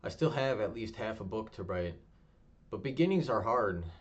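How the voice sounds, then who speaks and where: Low, even-voiced man reading poetry. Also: normal speed. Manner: low-key, even, self-described monotonous delivery. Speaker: poet reading his own work. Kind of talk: spoken word poetry reading. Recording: dull lo-fi home recording.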